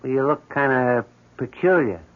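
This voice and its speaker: A man's voice: medium pitch, normal speed, natural voice. Man as eerie matter-of-fact handyman, 1970s radio drama; mid-range voice, narrow tinny audio. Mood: casual, curious. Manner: casual, matter-of-fact, brooding. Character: odd, morbid building handyman obsessed with ghosts. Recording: thin, tinny old radio broadcast.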